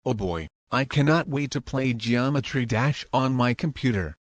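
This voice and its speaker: A man's voice: mid-range voice, expressive, moderate pace, natural voice. A man playing a whiny, complaining young man who is annoyed at his computer. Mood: excited.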